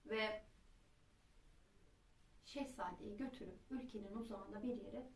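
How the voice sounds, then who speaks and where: A woman telling a children's folk tale in an expressive, dramatic traditional storytelling manner. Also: medium pitch, measured pace, a little hiss or hum. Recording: roomy echo, distant microphone.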